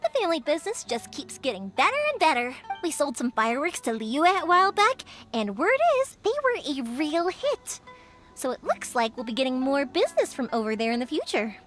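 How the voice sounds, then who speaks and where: An upbeat young fireworks maker, high and very animated voice, friendly, faint game music. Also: female voice, fairly quick. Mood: cheerful. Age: young adult. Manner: bright, energetic and friendly.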